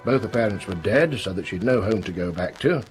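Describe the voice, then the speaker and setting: An actor playing a grave, probing police inspector in a radio drama, speaking bluntly and deliberately. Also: male voice, fairly low pitch, expressive, close to an everyday voice. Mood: solemn, pointed. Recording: music under the voice.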